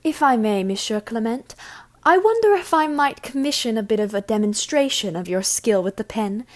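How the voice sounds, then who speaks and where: A woman's voice: fairly high pitch, animated, moderate pace, lightly acted. A woman playing a gracious, diplomatic young noblewoman in an audio drama, with polite, formal period speech.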